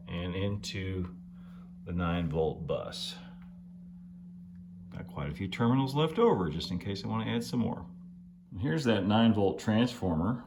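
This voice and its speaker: A middle-aged man giving a casual, relaxed hands-on tutorial, halting a little as he works in an indoor workshop. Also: low-pitched, reverberant, a little hiss or hum. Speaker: host of model railroad channel.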